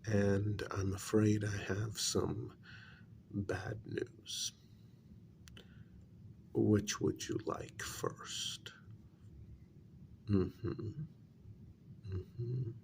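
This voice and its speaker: A man playing a grave, reluctant spiritualist in a soft-spoken ASMR role-play, talking softly and deliberately with long hesitant pauses. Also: slight background noise, exaggerated voice. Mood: grave, measured. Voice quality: soft, low, creaky voice, breathy in places. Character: grave, reluctant male spiritualist breaking news to a client.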